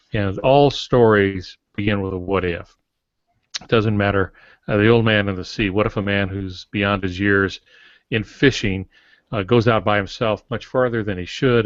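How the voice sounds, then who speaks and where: An older man with a lowish voice explains things deliberately, like a teacher on a podcast. The sound is dull and narrow, with a dry edge to none of it. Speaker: podcast co-host and novelist. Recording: dull, roomy lo-fi audio.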